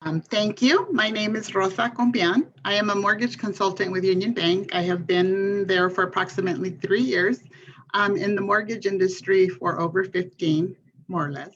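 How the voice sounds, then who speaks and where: A woman's voice: mid-range voice, moderate pace. Earnest woman mortgage consultant, lo-fi. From California's Central Valley. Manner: steady, earnest, with frequent um fillers. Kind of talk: panel introduction. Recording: dull lo-fi sound.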